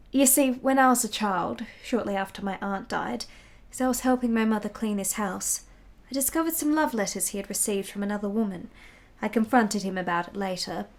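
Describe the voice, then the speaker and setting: An actress playing a tender, secretive nineteenth-century mistress in a radio play, with formal, genteel phrasing. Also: female voice, mid-range voice, natural voice. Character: tender, adoring 19th-century Italian mistress, secretive and assured.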